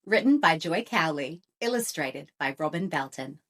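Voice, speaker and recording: A woman reading a children's picture book aloud with expressive, rhythmic phrasing. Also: deep voice, normal speed. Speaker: storyteller.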